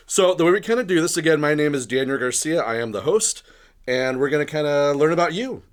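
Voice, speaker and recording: A man hosting a podcast interview in casual chat, a little hoarse from bronchitis, rambling and often clearing his throat. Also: fairly high pitch, lively intonation, fairly quick. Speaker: podcast host.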